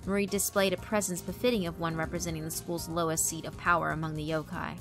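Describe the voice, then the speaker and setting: A woman's voice: deep voice, little pitch movement, quick pace. A woman narrator reading a scripted story in a dramatic storytelling style. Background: background music.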